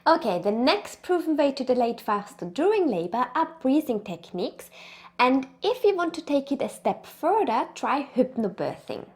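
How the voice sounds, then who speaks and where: A woman's voice: high-pitched, big pitch swings, normal speed. A woman with an Austrian accent, presenting an educational video as a birth consultant, upbeat and encouraging.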